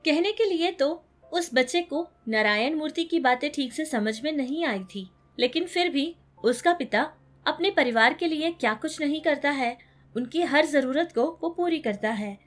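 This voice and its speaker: A woman's voice: high voice, lively intonation, moderate pace. A woman storyteller reading scripted narration for a children's moral story.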